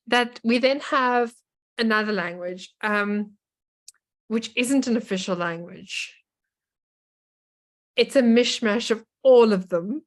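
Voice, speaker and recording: A South African woman co-hosts a podcast in a casual, conversational style. Her voice sits a little high, and she talks informally with a South African accent. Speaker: podcast co-host.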